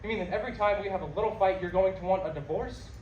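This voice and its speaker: A woman's voice: medium pitch, rapid-fire, darkish tone, recorded from a distance, dull audio, natural voice. Sarcastic young lawyer husband, played by a woman: practical, dry, exasperated stage delivery; echoey, slightly noisy room. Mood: incredulous, resigned. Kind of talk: stage comedy-drama acting. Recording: echoey room with background noise.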